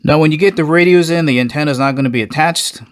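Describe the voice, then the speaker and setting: A man's voice: on the high side, fast talker. Man narrating a casual product review. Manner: conversational, addresses viewers as guys.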